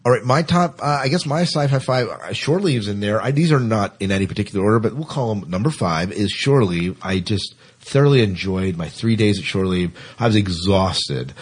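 A man hosting a podcast in casual conversation, with a husky voice. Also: on the low side, lively intonation, a little fast, hardly any pauses. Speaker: podcast host. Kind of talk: casual chat.

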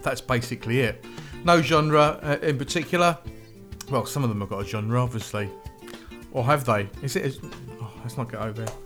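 A man's voice: mid-range voice, fairly quick, a bit bright, soft background music. Grumbly man with a slight cold. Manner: chatty, rambling and grumbly with self-mocking asides. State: has a slight cold and sore throat. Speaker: podcast host. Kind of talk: casual podcast monologue introducing music.

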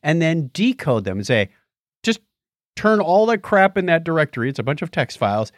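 A man's voice: on the high side, sing-song, normal speed, no echo, studio quality. A middle-aged man co-hosting a podcast, explaining and retelling things in casual tech chat. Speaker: podcast co-host.

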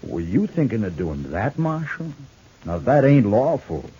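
A man playing a sly, mocking drifter in a 1950s radio Western, folksy and drawling, with a raspy voice. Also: medium pitch, very animated, unhurried, background noise. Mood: taunting. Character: sly, mocking drifter troublemaker in the Old West. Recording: dull vintage radio recording.

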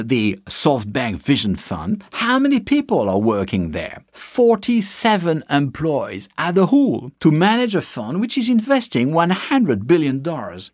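A man's voice: on the high side, no room sound, studio quality. A man, not a native English speaker, giving a lecture from slides who poses questions and answers them himself. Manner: lively, asks and answers his own questions. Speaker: business lecturer.

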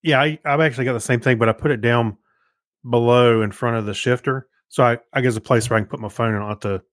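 A man's voice: mid-range voice, fairly even intonation, fast talker, no room sound, studio quality. A man co-hosting a podcast in casual conversation, sharing everyday anecdotes. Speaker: podcast co-host. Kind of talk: casual chat, personal anecdotes.

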